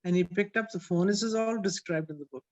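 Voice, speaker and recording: A woman in her seventies, an author reflecting on her book in an interview, telling her story with pauses and restarts. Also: fairly low pitch. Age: 70s.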